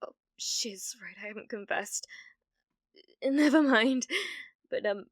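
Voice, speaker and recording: A British woman playing an obsessive, flustered young witch in an ASMR roleplay, stammering shyly with a breathy voice that often sinks toward a whisper. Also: fairly high pitch, takes it slowly, no room sound, clean studio sound. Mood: excited, flustered. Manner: hesitant, stammering, nervous giggling. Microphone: close microphone, dry sound.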